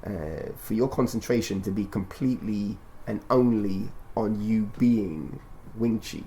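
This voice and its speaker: A man with a low, creaky voice and a mellow tone hosts a conversational podcast. He explains things in a measured, instructive way, repeating and rephrasing as he goes. There is some background noise and ambience on the recording. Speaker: radio show and podcast host. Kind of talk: conversational interview, explaining and prompting.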